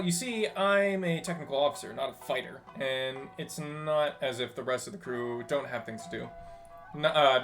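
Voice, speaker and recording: Man playing a talkative, teasing ship engineer in a game, animated, slightly halting, music underneath. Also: mid-range voice, close to an everyday voice. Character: chatty, teasing ship's technical officer and tinkerer. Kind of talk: reading game dialogue aloud in character.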